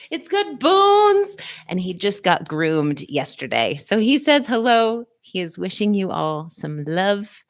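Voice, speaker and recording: A middle-aged woman hosting a casual live stream, playful and chatty, greeting viewers by name with high swoops in her voice. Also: no echo, clean studio sound. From United States. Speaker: livestream host, physical therapist. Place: small office.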